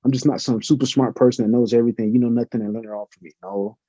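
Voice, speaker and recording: A man's voice: medium pitch, steady tone, very fast, mellow, no room sound. A middle-aged man, a professor, answering interview questions in an earnest, reflective way with many fillers. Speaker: professor and podcast guest. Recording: muffled lo-fi audio.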